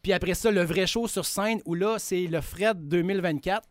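A man's voice: high-pitched, moderate pace, dry recording. A man with a Québécois accent, enthusiastically telling stories in a casual podcast chat. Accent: Québécois French. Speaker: guest, video producer.

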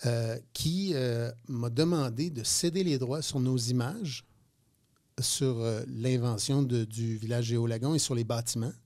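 A man's voice: mid-range voice, a little slow, darkish tone. A man in his fifties with a Quebec French accent, telling stories as a guest in a radio interview, hesitating and repeating words. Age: 50s. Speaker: guest entrepreneur. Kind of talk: radio interview, casual storytelling.

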